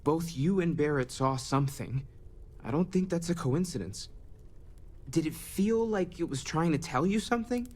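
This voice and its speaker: An actor playing a kind, earnest scholar turned space explorer in a video game, speaking in a gentle, conversational way. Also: male voice, fairly high pitch, expressive, moderate pace. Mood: earnest, curious. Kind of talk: video game character dialogue.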